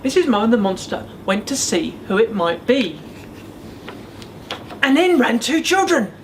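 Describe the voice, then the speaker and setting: A man reading his own picture book aloud as the author, in an expressive storytelling style for children. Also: very high voice, measured pace. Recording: faint background noise.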